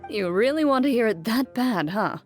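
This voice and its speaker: A woman playing a composed, reserved, dry-witted character in an English fantasy video game, speaking in a measured, understated way. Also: on the high side, lively intonation, soft background music. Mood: guarded, amused.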